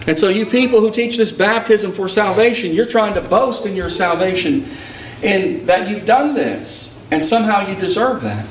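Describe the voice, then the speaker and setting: A man's voice: high voice, animated, normal speed, a little hiss or hum. A man from Texas preaching a sermon and telling stories from his travels, with an emphatic, rising cadence. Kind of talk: sermon with personal storytelling. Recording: echoey hall picked up from a distance.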